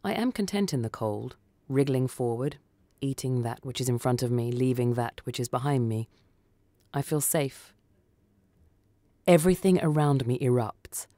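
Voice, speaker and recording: A woman reading a short story for radio in a theatrical, deliberate, dramatic narrating style. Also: very deep voice, animated, mellow, no echo.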